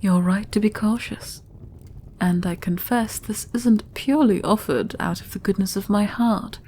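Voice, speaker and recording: A mature, regal queen, played by a woman in a fantasy audio roleplay. She has a soft-edged, mellow voice at a middling pitch and speaks with deliberate formality, shrewd and used to being obeyed. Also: slight background noise, close to an everyday voice. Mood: stately, gracious. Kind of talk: audio roleplay fantasy acting, addressing listener.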